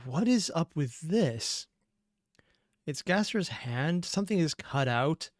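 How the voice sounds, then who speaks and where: A man's voice: on the high side, lively intonation, takes it slowly, no echo, clean studio sound. A man hosting a reaction video, analyzing as he watches and often trailing off mid-thought. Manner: thinks aloud, trails off mid-sentence, analytical. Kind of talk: casual commentary reacting to a video.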